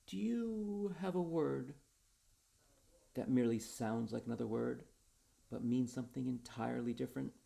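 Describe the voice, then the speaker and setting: A man's voice: mid-range voice, normal speed, gravelly, long pauses, mellow, pitched above the performer's own voice. A man voicing an eager, whimsical wordsmith in a storybook tale, talkative and theatrical like a salesman. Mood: eager pitching. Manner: theatrical, earnest salesman patter.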